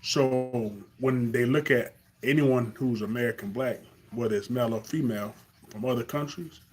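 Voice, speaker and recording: A man's voice: mid-range voice, moderate pace. A young man from Carolina with a Southern accent, talking earnestly off the cuff in a live stream monologue, with fillers and restarts.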